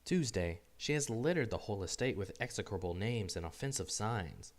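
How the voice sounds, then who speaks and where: A man voicing Adam as a grumpy, bewildered, solitary diarist, weary and fussy, in a deadpan storybook diary reading. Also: lowish voice, normal speed. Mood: exasperated. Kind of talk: first-person storybook diary narration.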